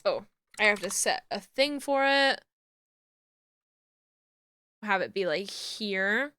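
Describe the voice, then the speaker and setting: A young woman with a New Jersey accent, chatting casually on a live stream while she works, murmuring to herself and then greeting viewers with loud squeals. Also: mid-range voice, lively intonation, slow pace, smooth, no room sound, clean studio sound. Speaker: VTuber streamer rigging a model.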